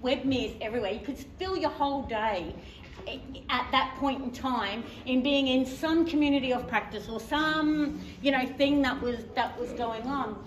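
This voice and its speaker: A woman with a fairly high voice tells her group's story to a conference audience in a chatty, conversational way, with plenty of ums. She is picked up from a distance in an echoey hall, with faint noise behind her. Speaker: community member presenter. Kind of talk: conference presentation.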